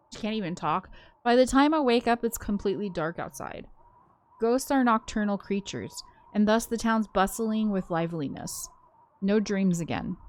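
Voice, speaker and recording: A woman reading a visual novel aloud as a game co-host, expressive in the story and giggly in her casual asides. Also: medium pitch. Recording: music playing behind the voice. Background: game soundtrack music.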